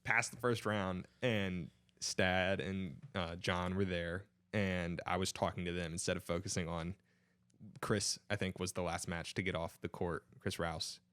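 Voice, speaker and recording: A young man with a fairly low voice talks casually among friends as a podcast co-host. The sound is dry and close, with no room reflections. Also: fairly even intonation, measured pace, a bit bright, smooth, dry recording. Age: young adult. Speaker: podcast co-host and college club tennis player.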